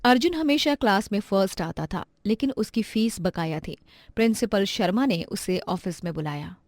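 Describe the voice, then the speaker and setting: A woman's voice: mid-range voice, lively intonation, moderate pace, darkish tone, no room sound. A woman delivering scripted narration for a moral bedtime story.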